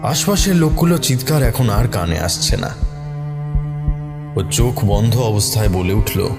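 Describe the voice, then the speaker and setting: A man's voice: fairly low pitch, lively intonation, unhurried, creaky. A man narrating a scripted horror story in a dramatic style. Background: music behind the voice.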